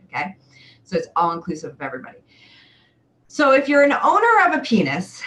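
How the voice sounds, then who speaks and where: A woman in her forties hosting a chatty live webcast, talking to viewers in an exuberant, theatrical way with drawn-out emphatic words. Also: mid-range voice, a bit bright, slight background noise, rough recording. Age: 40s. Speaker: livestream show host and sexual wellness coach.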